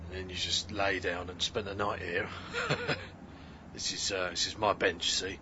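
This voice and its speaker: An actor playing a chummy, boozy tramp in a radio comedy. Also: male voice, low voice, level tone, a little slow, noisy background. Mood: matey. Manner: chummy, chuckling, proprietorial. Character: matey, grinning, boozy male tramp. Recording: dull audio, faint outdoor ambience.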